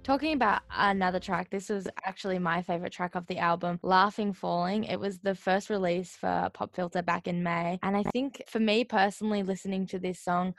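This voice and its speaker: A woman's voice: lowish voice, steady tone, a little fast, gravelly, few pauses, dry recording. An Australian woman hosting a radio interview, asking long, friendly questions about an album.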